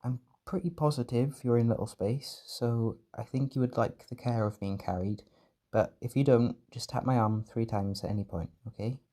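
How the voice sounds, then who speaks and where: A man playing a gentle, protective caretaker in a roleplay comfort audio, speaking in a soft, reassuring, tender way. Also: mid-range voice, moderate pace, few pauses, lightly acted. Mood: tender, soothing. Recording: music and party chatter behind.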